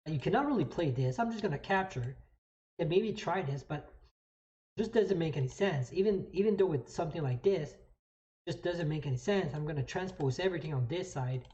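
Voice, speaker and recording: A chess streamer from Canada with a French Canadian accent talks through moves in a mid-pitched voice. The delivery is casual and halting, with phrases repeated as thoughts form. The sound is a little dull and lo-fi. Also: dark tone. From New Brunswick, Canada. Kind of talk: casual live commentary explaining moves.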